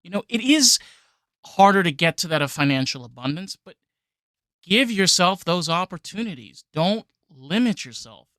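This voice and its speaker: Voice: medium pitch, animated, moderate pace, fairly bright tone, dry recording. A podcast host from New York speaking casually and emphatically, leaning on filler phrases. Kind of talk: casual conversation.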